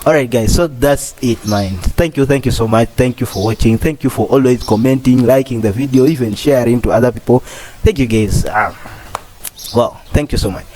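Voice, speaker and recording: A husky-voiced young man in his twenties, a doctor in training hosting a casual vlog, rambling and repeating phrases with many fillers. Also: medium pitch, dark tone, a little hiss or hum, poor audio. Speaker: doctor in training, channel host. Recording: clear music playing under the voice.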